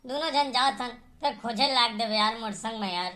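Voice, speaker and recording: A man playing a worried young villager in a cartoon, with a Chhattisgarhi accent, a pleading rustic manner and a pitched-up voice. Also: brisk, very noisy. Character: worried village youth tending hungry calves. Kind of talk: cartoon comedy dialogue.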